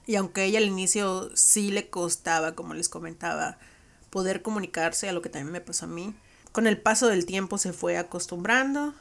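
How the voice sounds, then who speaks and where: A woman's voice: on the low side, fairly quick, vocal fry, fairly bright tone. A woman with a Mexican accent hosting a podcast, recapping a conversation in a reflective, conversational way. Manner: reflective, conversational, frequent "¿no?" tags. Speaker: podcast host.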